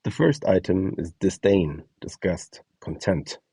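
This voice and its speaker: Deep, mellow male explainer. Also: big pitch swings, a little slow, gravelly, breathy edge, no echo, far from the mic. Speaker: host and instructor of a voice donation project. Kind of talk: scripted explainer narration.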